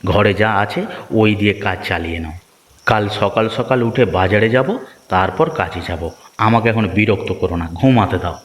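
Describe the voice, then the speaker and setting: A man's voice: on the low side, normal speed, long reverb tail, close to an everyday voice. A man voicing a lazy, grumpy, sleepy village husband in a children's cartoon, complaining in an exaggerated way. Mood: irritated, sleepy. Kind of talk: children's moral story cartoon dubbing.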